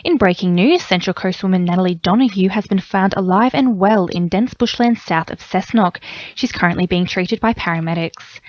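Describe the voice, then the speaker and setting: A woman's voice: mid-range voice, no room sound. Australian woman reading radio news, slightly dull sound. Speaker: newsreader. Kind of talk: scripted news bulletin reading. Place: dry radio studio.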